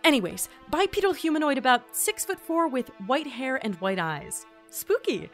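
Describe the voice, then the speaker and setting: An actress playing an awkward, wry visitor from another world in a fantasy audio drama, casual and hesitant. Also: female voice, high voice, sing-song, normal speed, faint music behind. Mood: wry, observing. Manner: casual, hesitant, dryly sarcastic. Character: awkward, wry, easily amazed otherworldly traveler. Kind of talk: fantasy audio drama acting with mission-log asides.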